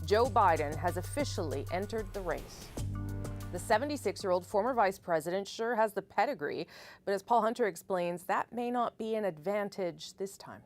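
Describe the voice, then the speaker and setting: A woman anchoring a nightly newscast in a clear, measured tone. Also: medium pitch, lively intonation, soft background music. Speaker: news anchor. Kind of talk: news anchoring.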